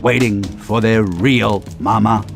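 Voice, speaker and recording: A man voicing a dry, skeptical sabretooth tiger uncle in an animated game, teasing and deadpan but gruffly affectionate. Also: lowish voice, takes it slowly, faint music behind. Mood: sarcastic. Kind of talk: animated game cutscene dialogue.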